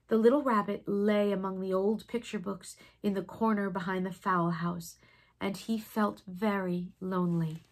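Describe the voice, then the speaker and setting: A woman narrates a children's storybook in an expressive, dramatic way. Her medium-pitched voice is breathy and soft-edged, and she uses pauses and hushed phrasing. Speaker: storybook reader.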